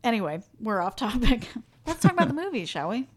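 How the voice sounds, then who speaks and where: A woman's voice: on the high side, sing-song, brisk, a little mellow. Woman, Midwestern, podcast co-host, casual chat, laughs often. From Midwestern United States. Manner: chatty, laughs often, self-deprecating.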